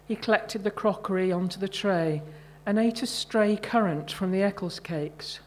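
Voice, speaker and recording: A woman reading her own short story at a live literary event in a measured, deliberate way. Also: mid-range voice, slight background noise, rough recording.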